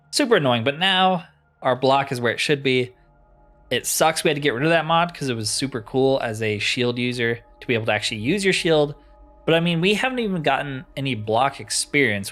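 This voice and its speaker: A man's voice: medium pitch, fairly bright tone. A man giving casual let's play commentary, chatty and informal as he thinks aloud. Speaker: gaming channel host.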